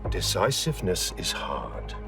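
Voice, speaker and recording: A commanding, zealous boss of a security firm, with a fairly low voice. He speaks like a menacing orator in a game cutscene, weighing and stressing his phrases. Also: sing-song, gravelly, music in the background, full character voice. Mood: grim, persuasive. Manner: measured, emphatic speechmaking. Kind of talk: dramatic video game cutscene acting.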